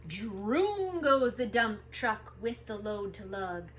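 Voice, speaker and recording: A woman reading aloud to young children as a storytime host, expressive and sing-song, drawing out the sound words. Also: medium pitch, slow pace, slight background noise. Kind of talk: animated picture-book read-aloud for young children.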